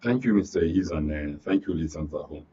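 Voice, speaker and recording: A man's voice: extremely low pitch, quick pace, dark tone, a little hiss or hum. A man with a Nigerian accent giving political commentary as a programme guest, emphatic and rhetorical. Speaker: studio guest, public affairs commentator. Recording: dull lo-fi sound, distant from mic.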